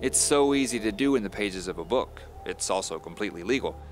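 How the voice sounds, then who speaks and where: A man's voice: on the low side, lively intonation, a little fast, faint music behind, natural voice. A man playing a polite, wry thriller novelist in an audio drama, courteous and dryly humorous. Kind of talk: audio drama first-person storytelling.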